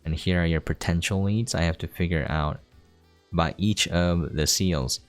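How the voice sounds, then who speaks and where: A man's voice: low voice, fairly even intonation, moderate pace. A man giving casual, explanatory gameplay commentary, talking informally to his viewers. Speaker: game streamer giving a preview. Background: game music.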